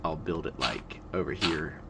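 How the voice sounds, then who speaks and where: A man in his twenties giving calm, monotone, rambling gameplay commentary, full of fillers. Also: a bass voice, normal speed, gravelly. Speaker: gaming video creator. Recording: loud background noise.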